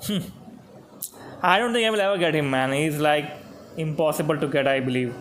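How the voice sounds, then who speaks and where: A man's voice: fairly high pitch, fairly quick, a bit bright, a little hiss or hum. A man with an Indian accent, chatting casually as a game streamer in a slangy, sweary way. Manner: slangy, sweary, switching between languages. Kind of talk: casual livestream chat.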